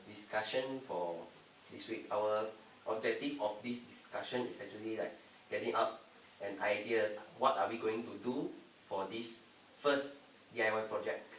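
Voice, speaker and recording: A young man leads a student group discussion, explaining steadily and asking the others to speak. His voice sits low. He sounds distant in a roomy space with a faint hiss. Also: steady tone, moderate pace, a bit bright. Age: young adult.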